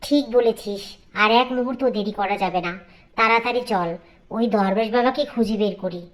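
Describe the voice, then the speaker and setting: A woman's voice: medium pitch, fairly quick, close to an everyday voice, rough recording. A woman voicing a scheming, spiteful middle-aged village stepmother in a dramatic cartoon fairy tale. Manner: scolding, menacing, falsely sweet when wheedling.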